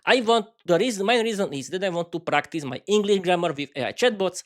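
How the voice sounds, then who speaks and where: Halting Slovak-accented man rambling. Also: high voice, lively intonation. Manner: halting, frequent restarts and fillers. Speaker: podcast host. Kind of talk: unscripted solo monologue.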